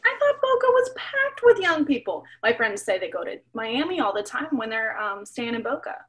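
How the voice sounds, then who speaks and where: A woman's voice: fairly high pitch, very animated, normal speed, a bit bright. A young woman in her twenties playing a cheerful new arrival who works as a data analyst, chatty and easygoing, on a video call. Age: 20s.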